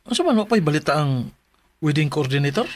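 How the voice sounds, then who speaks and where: A young groom-to-be in a Filipino radio drama talks in a high male voice with a Filipino accent. He is bewildered yet practical, and the recording is dry and close. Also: sing-song, fairly quick, no room sound. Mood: concerned, practical. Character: bewildered young groom-to-be, trying to fix things.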